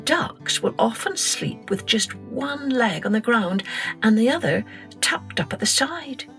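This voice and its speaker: Woman, children's storyteller, gentle, expressive, lively pitch, unhurried. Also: faint music behind. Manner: expressive, gentle, slow and clear. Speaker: podcast host and storyteller.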